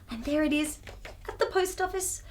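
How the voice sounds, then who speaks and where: A woman reading a story aloud to young children in an expressive, exclaiming manner, often putting questions to her listeners. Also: sits high, moderate pace, a little hiss or hum.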